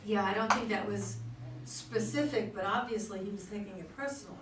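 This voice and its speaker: A woman's voice: medium pitch, fairly quick. Hesitant woman leading talk in echoey room. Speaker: discussion leader. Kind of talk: casual group discussion. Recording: echoey room, distant mic, clear noise.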